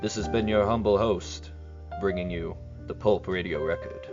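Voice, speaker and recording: A man playing a genial, formal host who narrates a scripted radio history show in a measured manner. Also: low voice, steady tone, lo-fi sound, over music. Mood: formal, informative.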